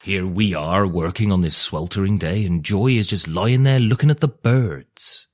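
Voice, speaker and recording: A man playing a loving, gentle woodcutter father in a fairy tale, warm and declamatory. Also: low voice, moderate pace. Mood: fond, calling out. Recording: music under the voice.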